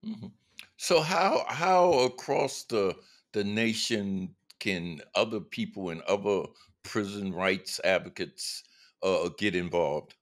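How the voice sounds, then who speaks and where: Guy hosting an interview, really low voice, halting, repeats words while asking stuff. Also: animated. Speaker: interviewer, host. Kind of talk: conversational interview questioning.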